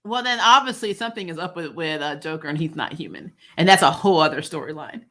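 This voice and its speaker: Blunt, bright-voiced woman chatting. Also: deep voice, fast talker. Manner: blunt, animated arguing, sweary, laughs often. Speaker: host of a comics and film review channel. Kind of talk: casual opinionated chat.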